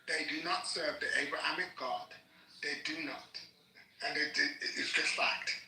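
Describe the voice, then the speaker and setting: A man's voice: on the high side, little pitch movement, measured pace, creaky, roomy sound, recorded from a distance, rough recording. A man debating on a panel, arguing in a preaching manner with frequent repeated restarts. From Scotland. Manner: forceful preaching, stumbling restarts. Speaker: panel guest.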